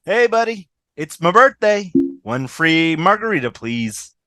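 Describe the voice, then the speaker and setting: A woman as a brash woman, wildly swooping pitch. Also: very animated, measured pace, bright, forceful tone, dry recording, studio quality, faint music behind, heavily acted. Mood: cheeky, pushy. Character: brash, selfish, sarcastic woman. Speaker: fan table-read actor. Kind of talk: scripted comedy reading. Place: video call.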